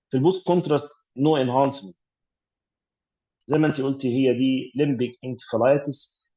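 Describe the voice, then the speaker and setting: A fast-paced Egyptian man talks through exam cases with many pauses and fillers. His voice is dark and mellow with a breathy, husky edge. The sound comes through a dull, lo-fi call line. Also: medium pitch. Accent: Egyptian Arabic. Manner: explanatory, mixes English medical terms, many fillers. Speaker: radiology tutor. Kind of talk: online exam revision teaching.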